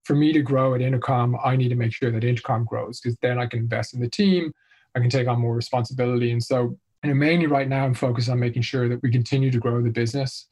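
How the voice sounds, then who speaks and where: A man's voice: medium pitch, steady tone, fast talker, a little mellow, breathy edge. A man from Ireland answering interview questions as a senior marketing executive, in a conversational, explanatory way.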